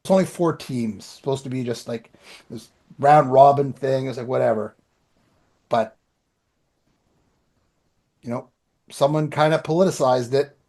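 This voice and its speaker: A man's voice: mid-range voice, unhurried, pauses often, dark tone. A man telling anecdotes in a rambling, informal way as a podcast co-host. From Canada. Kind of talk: casual chat, anecdotes.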